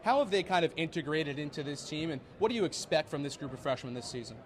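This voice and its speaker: A man's voice: a little high, expressive, fast. A young man working as a sports reporter, speaking in a polished broadcast delivery for interviews and narrated features. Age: young adult.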